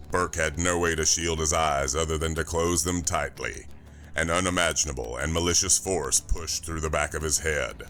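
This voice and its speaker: Extremely deep, gravelly male audiobook narrator. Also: fairly bright tone. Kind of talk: scripted science fiction thriller narration.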